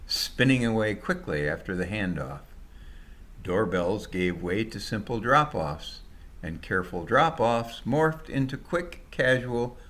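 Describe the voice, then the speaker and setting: A man with a fairly low voice reads a story he wrote himself. His narration is colloquial and dramatized, with very animated intonation that rises and falls widely. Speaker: storyteller, author reading own story.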